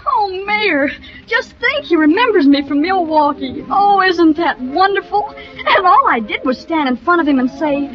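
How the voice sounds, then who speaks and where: A woman's voice: extremely high pitch, big pitch swings, moderate pace. An actress playing a naive, gushing young small-town woman, girlish and earnest, in a 1940s radio drama. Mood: dreamy, gushing. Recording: dull old radio recording with music.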